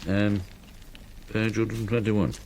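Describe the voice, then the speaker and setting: A man playing a quiet, laconic, thrifty farmer in a period radio drama, speaking sparsely and hesitantly. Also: on the low side, flat delivery, slow pace, a little hiss or hum. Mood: absorbed, dry.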